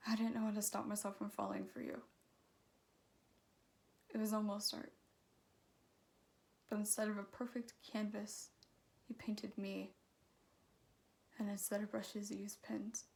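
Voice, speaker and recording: A woman performing her own slam poem in an earnest, measured, emotive recitation. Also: medium pitch, level tone, creaky, a little mellow. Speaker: slam poet.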